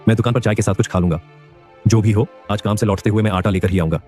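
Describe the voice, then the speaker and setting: An actor voicing a poor young laborer in an animated story, earnest and pleading. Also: male voice, lowish voice, fast talker, faint music behind, close to an everyday voice. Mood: reassuring.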